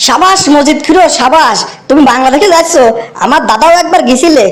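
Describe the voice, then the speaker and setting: An eager junior cartoon devil, played by a woman. The voice is very high and rapid. The manner is chatty, admiring and excitable. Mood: curious, eager. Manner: chatty, repeating words excitedly. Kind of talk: comic cartoon dialogue.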